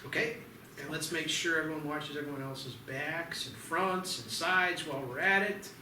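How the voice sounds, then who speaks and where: A man reading the part of a gruff, wisecracking but devoted sheriff and husband in an amateur screenplay table read, plain-spoken and wry. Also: a little high, moderate pace, natural voice. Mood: briskly official. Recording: echoey, noisy, distant microphone.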